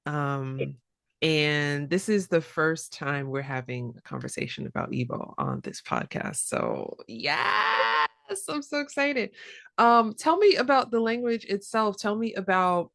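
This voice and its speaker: A woman hosting an interview podcast about languages, upbeat and quick to laugh. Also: on the low side, huge pitch swings, vocal fry, a bit bright, no echo, clean studio sound. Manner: upbeat, laughs often, enthusiastic. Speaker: podcast host.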